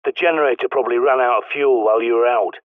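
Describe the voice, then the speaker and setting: A man's voice: mid-range voice, quick pace, dry recording. A man voicing a blunt, sardonic male survivalist in a video game, with a British accent, guiding someone over the radio. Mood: grumbling. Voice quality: gruff, sardonic, swears often. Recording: dry tinny radio-like line.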